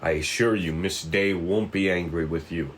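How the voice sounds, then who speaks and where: A man voicing a proud, self-righteous detective who speaks calmly and formally, in a cartoon script table read. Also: low voice, normal speed, a little hiss or hum, lightly acted. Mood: calm, assured. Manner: overly serious, formal, preachy. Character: proud, self-righteous male police detective, calm and earnest. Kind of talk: animated series table read.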